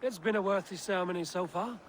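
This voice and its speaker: An actor playing a steady, loyal Viking warrior in a video game, measured and reassuring. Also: male voice, sits high, slight background noise, close to an everyday voice. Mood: composed. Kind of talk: video game drama acting.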